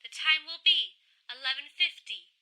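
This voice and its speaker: A businesslike female phone operator, voiced by an actress for a radio play. She is formal and firm, with a very bright, forceful tone set fairly high. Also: animated, moderate pace, slight background noise, full character voice, poor audio. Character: firm, businesslike New York telephone operator woman. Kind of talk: old-time radio drama acting.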